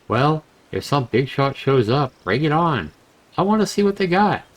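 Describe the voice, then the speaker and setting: Man voicing a brash, carefree young adventurer, slightly raised pitch. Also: sing-song, normal speed. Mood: cocky. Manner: breezy slangy teasing.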